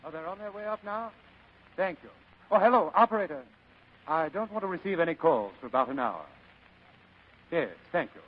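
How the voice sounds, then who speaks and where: An actor playing a genteel, scheming fat crime boss in old radio drama style, pompous, florid and ornately courteous, with a husky voice. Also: male voice, on the high side, expressive, moderate pace, long pauses. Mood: courteous, jovial. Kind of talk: 1940s-style radio acting. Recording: muffled old radio recording.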